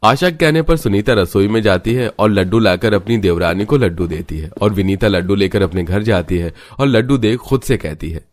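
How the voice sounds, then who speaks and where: A man's voice: fairly low pitch, animated, fairly quick, hardly any pauses. A man reading scripted narration for a cartoon family story as its narrator.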